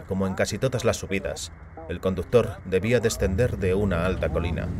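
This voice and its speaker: A man reading a short story aloud as a scripted audio fiction narrator. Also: fairly low pitch.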